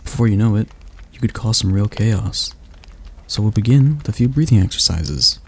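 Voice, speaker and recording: A man voicing a patient male demon tutor who teaches magic in a calm, gently commanding ASMR roleplay. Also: lowish voice, normal speed, gravelly, husky, exaggerated voice. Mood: instructive.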